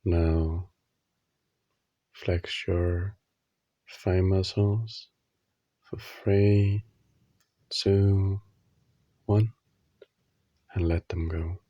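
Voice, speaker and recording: A man leading a guided sleep meditation in a soothing, instructional manner, leaving long pauses between short phrases. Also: low voice, fairly even intonation, mellow, no room sound, dull audio.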